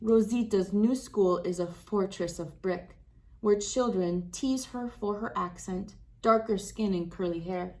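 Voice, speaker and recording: A woman hosting a read-aloud, narrating for children with expressive, deliberate phrasing. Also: medium pitch, rough recording.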